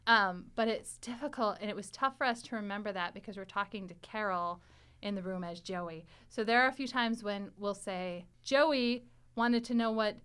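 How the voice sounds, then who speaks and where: A woman hosting a casual panel conversation in an animated, joking way, laughing easily. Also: medium pitch, normal speed, clean tone. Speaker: podcast host.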